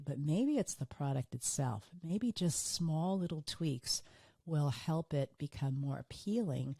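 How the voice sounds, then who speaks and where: Low-voiced woman podcast host, slightly hoarse. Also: mellow, no echo, clean studio sound. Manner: earnest, repeats for emphasis. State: hoarse, recovering from illness, fatigued. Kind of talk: solo business podcast monologue.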